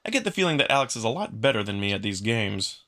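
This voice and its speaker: A man's voice: on the low side, sing-song, quick pace, pushed and bright. A man giving a dramatic first-person narration of a visual novel, acting as narrator. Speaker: narrator and voice actor.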